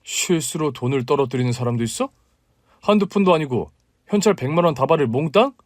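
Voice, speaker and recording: A man's voice: a little high, animated, brisk, no room sound, studio quality. A man doing a stern, commanding brother-in-law. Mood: puzzled. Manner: forceful, commanding, shouting when angry.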